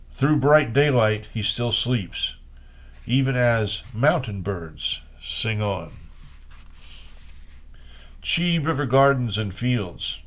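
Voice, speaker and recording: A man reading poems aloud as host, in an unhurried way with pauses between short phrases. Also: mid-range voice. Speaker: poetry reader and host. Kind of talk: poetry reading with brief spoken commentary.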